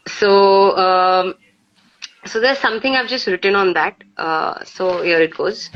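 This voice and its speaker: An Indian-accented woman with a medium-pitched voice talks reflectively, like a poet sharing her work. Her delivery is halting and conversational. The sound is dull and lo-fi, as on a live stream. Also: fairly bright tone. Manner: halting, peppered with 'you know'. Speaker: poet on a live poetry session. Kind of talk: casual reflective chat and poetry recitation.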